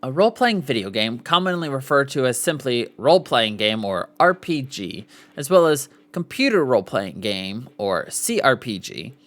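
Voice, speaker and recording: A man's voice: mid-range voice, big pitch swings, moderate pace, few pauses, a bit bright. A man hosting a casual live podcast, reading aloud and sometimes stumbling over the words. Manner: stumbles over foreign names, sounding them out. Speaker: podcast host.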